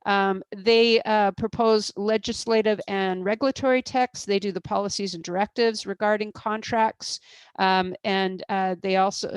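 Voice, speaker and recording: A woman speaking in a webinar presentation, explaining step by step with plenty of "uh" and "um" and self-corrections. Her pitch sits in the middle range. The sound is dry and close, with no room around it. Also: no echo. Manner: explanatory, many fillers and restarts. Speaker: procurement expert presenter.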